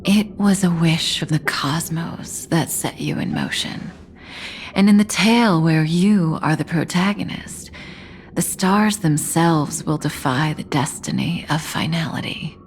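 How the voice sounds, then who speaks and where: A woman playing a composed, enigmatic adult woman in a video game, tender yet commanding, with measured, solemn delivery that is hushed at times. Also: low voice, slight background noise.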